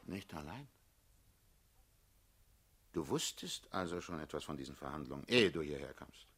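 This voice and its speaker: A man's voice: a bass voice, expressive, normal speed, a bit bright, breathy edge, full character voice. A male actor playing a hard-headed older revolutionary leader in a radio drama, lecturing and condescending. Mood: low, probing, urgent. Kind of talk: theatrical radio drama acting.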